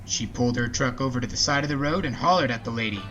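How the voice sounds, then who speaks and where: A man's voice: mid-range voice, fast talker, dull audio, over music. A man hosting a story podcast, talking and narrating in a casual, folksy way.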